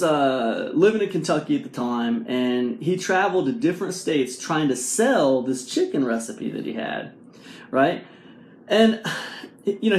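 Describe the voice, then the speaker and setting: A man in his forties telling a motivational story to camera in a casual, animated way, full of fillers and rhetorical questions, filmed with a phone at arm's length in a small room at home. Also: a little high. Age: 40s. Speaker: vlog host. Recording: echoey room sound.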